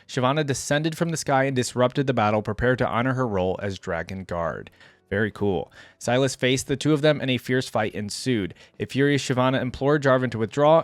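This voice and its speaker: A man with a mid-pitched voice hosts in a casual reaction style, moving between reading text aloud and giving his own informal comments. The recording is dry and close, with very little room sound. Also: no echo. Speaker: YouTube reaction host.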